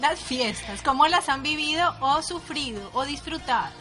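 A woman from Colombia, chatting casually as a radio co-host, bubbly and quick to laugh at herself. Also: sits high, expressive, pushed and bright, soft background music. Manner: bubbly, laughs often, self-deprecating. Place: radio studio.

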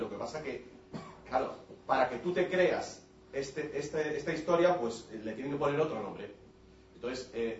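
A man's voice: medium pitch, a little hiss or hum. Man speaking up from a distant audience. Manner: halting with repeated words, grows heated and forceful. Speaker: audience member. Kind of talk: question-time comment at a public talk. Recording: roomy, dull hall recording from far off.

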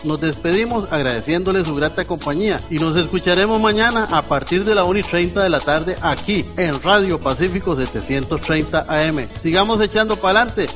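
Music plays clearly behind a man with a Costa Rican accent. His voice sits slightly high as he reads prepared narration in the manner of a radio host presenting his program. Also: fairly quick, hardly any pauses. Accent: Costa Rican Spanish. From Costa Rica. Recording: music playing under the voice. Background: background music.

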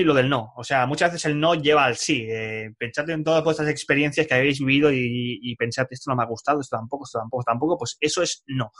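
Man, fast-paced group leader on a video call, energetic. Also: medium pitch. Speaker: course leader.

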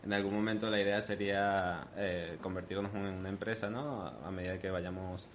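Deep guy explaining stuff earnestly, faint hiss in the background. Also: flat delivery, moderate pace. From Venezuela. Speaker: guest, audiobook producer. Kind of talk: interview talk.

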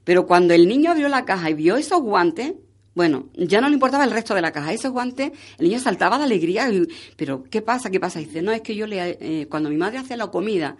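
A woman's voice: mid-range voice, lively intonation, quick pace, a little mellow. A woman talking as a charity guest, explaining and telling anecdotes conversationally, often checking for agreement. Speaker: charity coordinator or volunteer guest.

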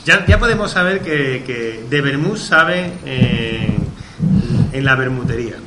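A man's voice: medium pitch, expressive, unhurried. A man hosting a wine interview, friendly and encouraging, often restarting his sentences. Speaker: radio host. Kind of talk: radio interview about wine. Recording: roomy studio with background noise.

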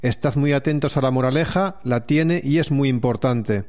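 A man's voice: medium pitch, normal speed, a little mellow. Man announcing a radio show. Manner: formal, announcing. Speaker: radio program presenter. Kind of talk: reading a program introduction.

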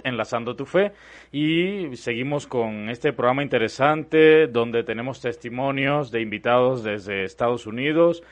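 This is a man with a Mexican accent and a mid-range voice, hosting a radio show. He speaks in a welcoming, announcer-like way as he brings the program back from a break. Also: mid-range voice. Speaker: radio host.

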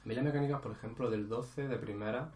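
A man's voice: mid-range voice, quick pace, darkish tone, echoey room, a little hiss or hum. A man chatting casually about video games as a podcast co-host, hesitant and full of fillers. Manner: rambling, hesitant, many fillers. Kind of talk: casual gaming chat.

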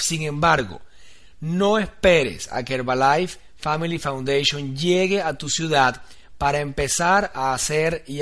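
A man's voice: on the high side, a bit bright. A man narrating a scripted sales training audio in an earnest, instructive way. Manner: earnest, instructive, building to an eager introduction. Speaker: training host.

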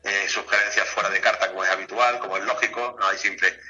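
Male interview guest, Spain accent: low, very bright and forceful, chatty and repetitive. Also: steady tone, fast talker, highs cut off. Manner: chatty, repeats himself. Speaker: guest, golf club director. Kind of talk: casual radio interview.

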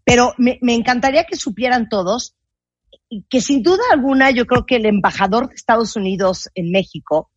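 A woman's voice: fairly high pitch, animated, fairly quick, slightly rough, dry recording, lo-fi sound. A Mexican woman with a Mexican accent, hosting an interview with an enthusiastic, praising manner. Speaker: interviewer, host.

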